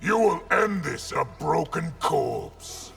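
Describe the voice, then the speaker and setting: An actor voicing a huge, arrogant, brutal horned general in a video game, booming, pompous and threatening. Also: male voice, lively intonation, slow pace, long reverb tail, music in the background, exaggerated voice. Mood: arrogant menace. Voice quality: gravelly, booming.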